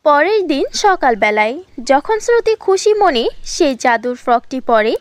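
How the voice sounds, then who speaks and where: Woman narrator, high voice, dry close recording, storybook telling. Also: sing-song, unhurried, no room sound.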